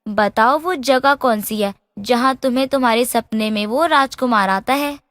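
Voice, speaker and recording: A woman's voice: on the high side, normal speed, fairly bright tone, studio quality, lightly acted. A woman playing a princess's caring young companion in a fairy tale, solicitous and coaxing in manner. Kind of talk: animated fairy-tale voice acting. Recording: long hall-like reverb.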